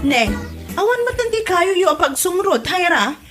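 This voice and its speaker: A woman's voice: very high-pitched, big pitch swings, moderate pace, loud music behind the voice. A woman acting a nosy, chatty wife who gossips about her in-laws in an Ilocano comedy drama, with an Ilocano accent. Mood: gossipy, prying.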